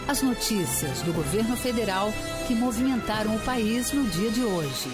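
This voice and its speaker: A woman's voice: on the high side, lively intonation, moderate pace. A Brazilian woman reading a scripted radio broadcast introduction as an announcer. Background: loud music.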